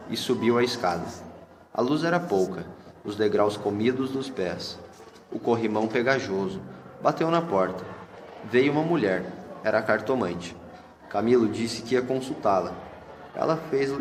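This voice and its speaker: A Brazilian man narrating a scripted radio drama. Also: mid-range voice. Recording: echoey hall, long reverb, music bed. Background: music.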